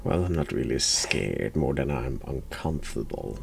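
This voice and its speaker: A man hosting a horror game playthrough, replying casually in a dry, deadpan manner. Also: rumbling low, moderate pace, vocal fry. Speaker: video game player reacting as host.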